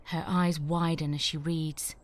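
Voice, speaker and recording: A woman's voice: low voice, level tone, a little slow, no echo. Woman narrating screenplay directions. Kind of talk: scripted narration of stage directions.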